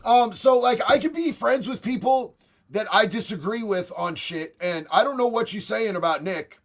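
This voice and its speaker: A raspy young man talks off the cuff on his livestream, blunt and profane, tossing out bro and homie. His voice sits high for a man. He answers chat messages one after another. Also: normal speed. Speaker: livestream host reading chat.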